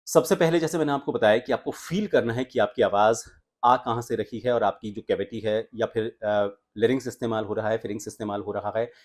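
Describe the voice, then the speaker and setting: एक आदमी जो आवाज़ सुधारने का पाठ पढ़ाता है, हमिंग की आवाज़ें करके दिखाता है और हिंदी लहजे में बोलता है।. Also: male voice, fairly low pitch, darkish tone. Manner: explanatory, demonstrates humming sounds. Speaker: voice coach and anchor. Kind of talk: voice training lesson.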